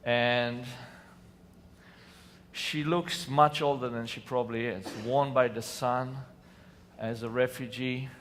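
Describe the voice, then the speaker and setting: A man's voice: mid-range voice, fairly even intonation, slow pace, a bit bright. A man from Israel with an Israeli accent, telling personal stories earnestly to a conference audience, with sudden emphatic bursts. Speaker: conference speaker. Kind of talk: personal storytelling talk on stage. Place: large hall on a lit stage. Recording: distant hall reverb, faint room noise.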